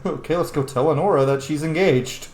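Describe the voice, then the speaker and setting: A man's voice: on the high side, brisk, a little mellow, reverberant. A man playing a roleplaying game, commenting in a chatty, informal way and reading out his character's dialogue. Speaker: gamer, roleplay series host.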